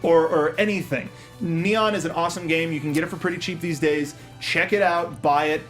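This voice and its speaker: A man's voice: a little high, fairly even intonation, fairly quick, fairly bright tone. A man hosting a casual panel review show in an enthusiastic way. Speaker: host of a movie review show. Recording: music playing under the talk. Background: music.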